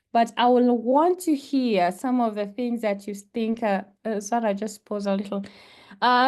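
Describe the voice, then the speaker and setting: A woman's voice: mid-range voice, warm tone. A young adult woman with a Kenyan accent, an international student speaking earnestly at a panel, with frequent fillers and restarts. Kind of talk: presentation and discussion.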